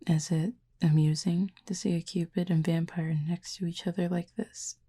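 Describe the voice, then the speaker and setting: A woman voicing a calm, curious cupid in an intimate audio roleplay, speaking softly and half-whispered with formal, measured, gently dry phrasing. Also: on the low side. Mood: calm, gently teasing. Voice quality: breathy, soft, half-whispered. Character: calm, curious otherworldly cupid who feeds on feelings.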